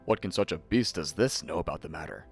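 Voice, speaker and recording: A scornful young storybook lad, voiced by a man, foolish and sure of himself. The voice sits fairly low and sounds dismissive, over faint music. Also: animated, fast talker, gravelly. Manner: dismissive, self-assured. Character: scornful, foolish young eldest son of a gardener.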